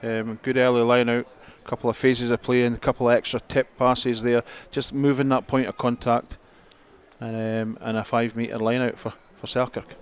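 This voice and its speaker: A man's voice: mid-range voice, flat delivery, normal speed, clean tone. A man giving conversational analysis as co-commentator on a live rugby match.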